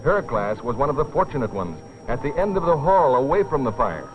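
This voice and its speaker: Man, somewhat low voice, grave dramatic narration, rhetorical, old educational film sound with faint music. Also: very animated, brisk, highs cut off.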